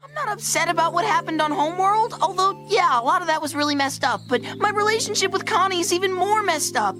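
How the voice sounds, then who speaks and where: A woman's voice: extremely high pitch, lively intonation, fast, over music. A performer, heard as a woman, voicing an earnest, guilt-ridden young cartoon boy in a sincere, confessional manner. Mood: troubled, regretful.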